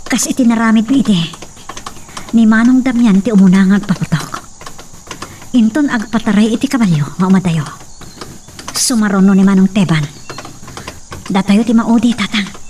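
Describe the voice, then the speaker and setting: A woman's voice: mid-range voice, moderate pace, pauses often, dark tone, lightly acted. A woman with an Ilocano Filipino accent playing an earnest, resolute daughter in a radio-style drama. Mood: determined. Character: resolute daughter planning a defence with her father. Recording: distant mic, faint background noise with insects.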